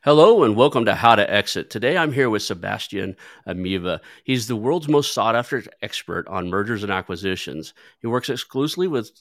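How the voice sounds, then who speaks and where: A man's voice: lowish voice, animated, a little fast, few pauses, fairly bright tone, no echo, clean studio sound. A man hosting a podcast, talking in a loose conversational way with frequent restarts. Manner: conversational, stumbles and restarts, uses fillers like "like". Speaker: podcast host. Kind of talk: podcast interview.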